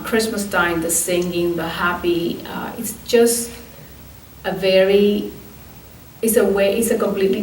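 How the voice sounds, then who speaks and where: A woman in her fifties from Puerto Rico tells her life story in an oral history interview, with frequent thoughtful pauses. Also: medium pitch. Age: 50s. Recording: echoey room from far off, faint noise.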